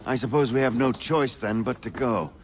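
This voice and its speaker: An actor playing an unworried, genteel colonial patriot leader in a video game, courteous yet dismissive. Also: male voice, medium pitch, moderate pace, close to an everyday voice. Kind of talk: video game cutscene acting.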